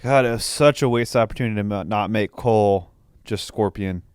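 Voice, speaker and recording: A man's voice: lowish voice, fairly quick, a little mellow, clear, resonant tone, no room sound, clean studio sound. A man co-hosting a podcast, giving opinionated, mildly profane takes in casual movie-review chat. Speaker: podcast co-host.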